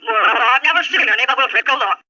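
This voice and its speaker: Lady doing a protective triceratops, firm n friendly, husky bright voice, muffled 70s storybook record. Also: fairly high pitch, quick pace. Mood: helpful. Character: helpful, protective triceratops, sturdy and confident. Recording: muffled 1970s talking toy record.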